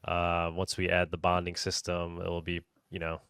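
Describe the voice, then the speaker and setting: A man hosting a podcast interview in a casual style, halting and rephrasing his thoughts as he goes. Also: low voice, completely flat delivery, fairly bright tone, clear, resonant tone, dry recording, studio quality. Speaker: podcast host.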